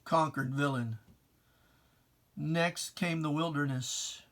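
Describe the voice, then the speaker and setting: A person giving a solemn, theatrical recitation of religious verse, declaimed with weighty pauses and a stern, taunting address. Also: medium pitch, fairly even intonation, a bit bright. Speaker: reader of poetry. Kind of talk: dramatic recitation of religious rhyming poem.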